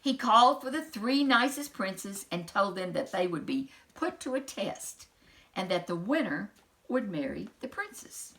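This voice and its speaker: Lady reading a kids book out loud, super animated, bright voice, throws in casual little asides. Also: medium pitch, measured pace, rough recording. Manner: animated, dramatic emphasis. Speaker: storyteller reading a children's book aloud. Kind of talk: expressive storybook narration with casual asides.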